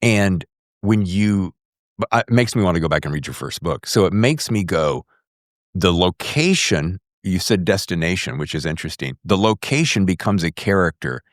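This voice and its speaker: A man's voice: low voice, expressive, moderate pace, no echo, studio quality. A man hosting a casual book interview, musing aloud and rephrasing his questions as he goes. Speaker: podcast host interviewer.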